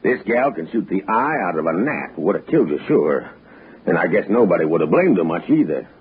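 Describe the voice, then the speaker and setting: Husky folksy Western man. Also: deep voice, very animated, brisk, full character voice. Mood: earnest, friendly. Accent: American Western. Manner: rustic, drawling. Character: folksy, deferential Western mine-country man. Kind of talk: 1960s radio drama acting. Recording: muffled narrow-band old radio recording.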